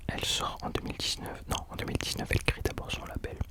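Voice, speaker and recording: A man hosting ASMR in an unvoiced whisper, chatting informally and hesitantly, correcting himself as he goes. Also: fast. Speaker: ASMR host. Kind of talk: whispered ASMR chat about rappers.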